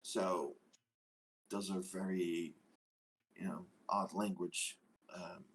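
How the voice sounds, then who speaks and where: A man with a fairly low voice joins a casual game discussion. His talk is informal and a little halting. There is slight noise behind him. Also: flat delivery. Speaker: tabletop game player. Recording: faint background noise.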